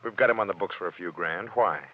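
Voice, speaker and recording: An American actor playing a brash, defiant, shady nightclub boss in a 1940s radio crime drama, curt and streetwise. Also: male voice, sits low, quick pace. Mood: guarded. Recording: dull vintage 1940s radio recording.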